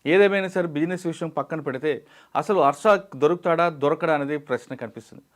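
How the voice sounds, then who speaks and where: A male news presenter with a Telugu accent delivers scripted commentary at a quick clip. His voice sits slightly high for a man.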